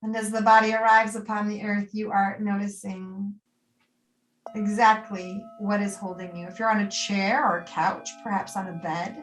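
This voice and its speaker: A woman leading a guided meditation as a yoga nidra teacher, speaking soothingly and gently in short, unscripted phrases with pauses between them. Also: mid-range voice, reverberant, distant mic, low-quality recording.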